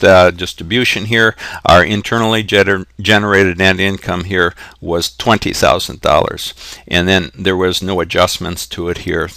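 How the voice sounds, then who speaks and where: A man's voice: sits low, gravelly, sharp tone, no room sound. A man giving a methodical, step-by-step tutorial as an accounting instructor, often repeating himself, in a close voice-over. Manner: methodical, repetitive step-by-step explaining. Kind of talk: explanatory tutorial narration. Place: close, dry voice-over for a screen recording.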